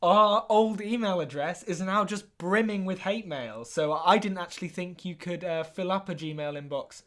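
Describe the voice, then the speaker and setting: A man with a British accent playing an earnest, easily hurt fan podcaster, talking hesitantly as co-host of a deadpan comedy show. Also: sits high, normal speed. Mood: matter-of-fact.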